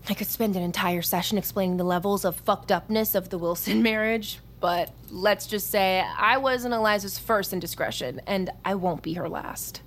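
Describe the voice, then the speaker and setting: An actress playing a cocky, foul-mouthed young army recruit in a naturalistic audio drama, with a flippant, teasing manner. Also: female voice, mid-range voice, moderate pace, hardly any pauses, slight background noise, natural voice. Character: brash, cocky young female army recruit, manipulative, wounded.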